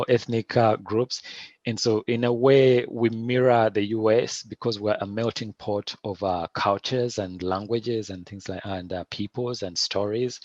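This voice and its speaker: A middle-aged man with a Ugandan accent, answering interview questions in a thoughtful, halting way with many restarts. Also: fairly low pitch, no room sound, lo-fi sound. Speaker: filmmaker guest.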